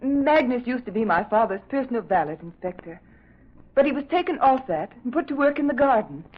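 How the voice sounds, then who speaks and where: A fairly high, husky voice as a grieving young heiress figure in a radio mystery. She stays polite and composed yet guarded and defensive, her pitch moving widely, on a muffled old recording. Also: sing-song, brisk. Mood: anxious, polite. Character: strained, guarded grieving daughter of the house. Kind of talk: radio drama acting.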